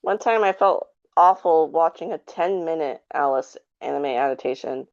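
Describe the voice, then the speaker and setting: A woman's voice: deep voice, normal speed, a little mellow, lo-fi sound. A woman chatting casually as a guest on a podcast.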